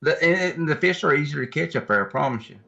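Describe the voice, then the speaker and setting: A man's voice: a little high, lively intonation, quick pace, gravelly, sharp tone. A man with an American accent chatting casually as a guest. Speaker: podcast guest, kayak angler. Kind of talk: casual chat. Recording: slightly dull, muffled audio.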